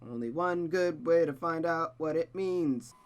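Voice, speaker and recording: A streamer with a mid-pitched voice reads a visual novel aloud, narrating expressively and adding casual asides. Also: measured pace, a little hiss or hum.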